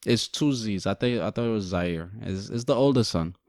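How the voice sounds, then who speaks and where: A man's voice: lowish voice, lively intonation, moderate pace, a little mellow, no room sound, studio quality. Blunt, joking young podcast man. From Guyana. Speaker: podcast co-host. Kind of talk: casual chat.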